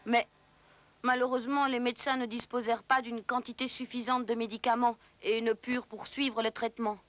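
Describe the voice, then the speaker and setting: A woman's voice: fairly high pitch, flat delivery, fairly quick, fairly bright tone. A woman narrator delivering scripted educational cartoon narration in a storytelling manner.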